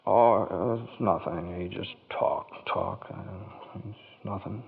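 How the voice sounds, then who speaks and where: An actor playing an earnest, excitable small-town family man who stammers and exclaims in folksy fashion in vintage radio drama. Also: deep voice, measured pace, gravelly. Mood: despondent. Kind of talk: 1940s radio drama acting. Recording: reverberant, thin phone-like vintage radio recording.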